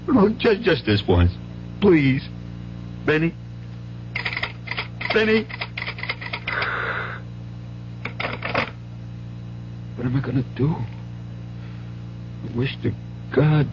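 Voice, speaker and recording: Desperate American gambler father, muffled radio sound. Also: medium pitch. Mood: desperate, begging. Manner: halting, pleading, repeats himself. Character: desperate gambling father, wheedling, bitter, weak-willed. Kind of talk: old-time radio drama acting. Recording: dull, noisy 1970s radio recording with hum.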